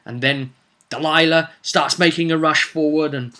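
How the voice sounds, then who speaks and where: Man, bright forceful voice, game master, casual storytelling, dramatic, suspenseful repetition. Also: fairly high pitch, fairly even intonation, moderate pace. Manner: dramatic, repeats phrases for suspense. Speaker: game master recapping a tabletop roleplay session. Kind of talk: casual animated storytelling recap.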